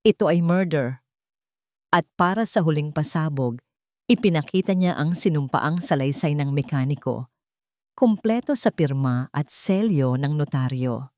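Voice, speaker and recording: A woman's voice: deep voice, lively intonation, moderate pace, darkish tone, no room sound, clean studio sound. A woman reading a scripted drama story as its narrator, in a dramatic storytelling style.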